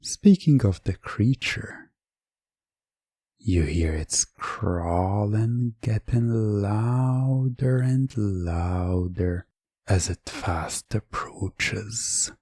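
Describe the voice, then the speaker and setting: Very slow, low-voiced male storyteller. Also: mellow, breathy edge, no echo, clean studio sound. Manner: measured, deliberate, dramatic pauses. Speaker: narrator. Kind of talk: second-person scripted story narration, hypnosis ASMR audio.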